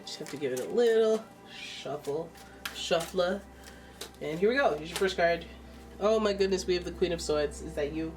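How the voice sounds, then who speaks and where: A woman's voice: fairly low pitch, normal speed, far from the mic, music in the background. A woman with a stuffy nose giving a casual, chatty tarot reading, exclaiming and thinking aloud as she turns the cards. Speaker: tarot reader.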